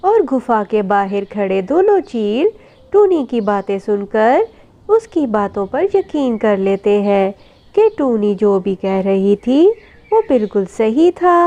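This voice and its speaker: Animated, mellow woman storyteller, slightly muffled. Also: on the high side. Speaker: story narrator. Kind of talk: dramatic scripted children's story narration. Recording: dull muffled audio.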